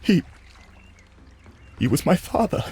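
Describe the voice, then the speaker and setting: An actor playing an earnest, grieving gardens worker in a video game, speaking politely and hesitantly in a sombre manner. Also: male voice, slow pace, a little mellow, lightly acted. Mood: grieving, hurt. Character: grieving, earnest son working at botanic gardens.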